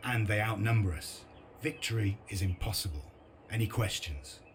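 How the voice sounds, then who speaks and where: An actor playing a grim, sardonic resistance commander in a video game, with a blunt, dry, determined delivery. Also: male voice, fairly low pitch, moderate pace, slight background noise, close to an everyday voice. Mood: grim resolve. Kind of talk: video game cutscene narration and briefing.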